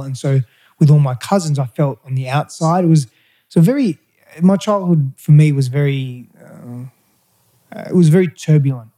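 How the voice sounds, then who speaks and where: A middle-aged man, whose was Greek, recounts his past as an interview guest. His voice is mellow and dark, and he talks candidly, often pausing and restarting. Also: fairly high pitch. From Australia. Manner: candid, hesitant, with restarts and fillers. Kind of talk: reflective personal storytelling.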